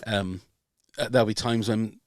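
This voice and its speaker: A man's voice: on the low side, fairly even intonation, moderate pace, no room sound, clean studio sound. An older man hosting a podcast, talking hesitantly and openly about himself, with many ums and restarts. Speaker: podcast host. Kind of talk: casual chat.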